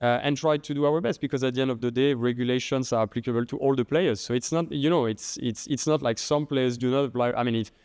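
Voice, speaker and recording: A middle-aged man from France with a French accent, a guest in a podcast interview, speaking haltingly with many restarts. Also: medium pitch, fairly even intonation, a little mellow, clean tone, no echo. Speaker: guest, head of treasury and trading.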